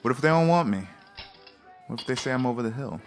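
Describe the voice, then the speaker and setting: A man's voice: medium pitch, animated, quick pace, faint music behind, close to an everyday voice. An actor playing a subdued, unsure aging male prizefighter in an audio drama.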